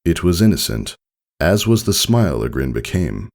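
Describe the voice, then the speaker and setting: A man reading fiction aloud as an audiobook narrator, with expressive scripted delivery. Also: low voice, normal speed.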